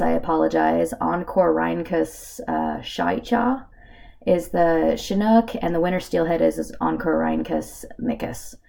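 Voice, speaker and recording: Woman, hoarse from a cold, very gravelly, low-pitched, casual slideshow narration. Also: darkish tone. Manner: casual, with many filler ums. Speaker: student presenter.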